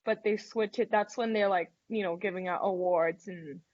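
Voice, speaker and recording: A chatty young woman from Thailand, Thai her first language, talks loosely and leans on "like" and "um". Her voice sits at a middle pitch for a woman. The sound is dull and lo-fi, like an online video call. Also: steady tone, moderate pace, darkish tone. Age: young adult. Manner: chatty, many fillers like "like" and "um". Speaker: college golfer guest. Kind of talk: casual webinar interview.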